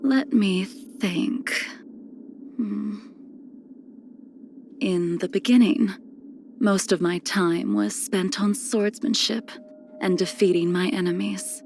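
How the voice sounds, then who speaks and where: A woman playing a cold, ageless, melancholy swordswoman in a video game, speaking in formal, solemn phrases that trail into breathy near-whispers. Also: medium pitch, fairly even intonation, slow, long pauses, darkish tone, faint music behind. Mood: musing, coolly amused. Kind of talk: scripted video game character lines.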